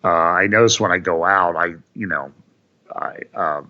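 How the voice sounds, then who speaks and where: A man's voice: low voice, normal speed, highs cut off. A man, a guest on a call-in talk show, chatting about politics in a wry, exasperated way, often going on at length. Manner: exasperated, wry, talks in long runs. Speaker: guest commentator. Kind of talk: casual political chat. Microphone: phone or call line.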